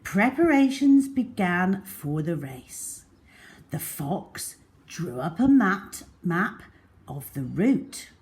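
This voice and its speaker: Very slow, animated woman storyteller. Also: on the low side. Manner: theatrical, deliberate, with hushed phrase endings. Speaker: children's storyteller. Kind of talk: expressive storybook narration for young children.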